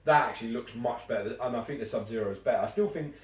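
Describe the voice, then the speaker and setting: A man's voice: mid-range voice, fast talker. A man reviewing collectible statues in casual chat, blunt and swearing casually. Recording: echoey room, mic at a distance.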